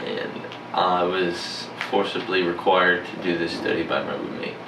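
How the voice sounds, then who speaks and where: A twenty-year-old man answering an interview question in a deadpan way, recorded from a distance in an echoey, noisy room. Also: low voice, unhurried. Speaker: study participant.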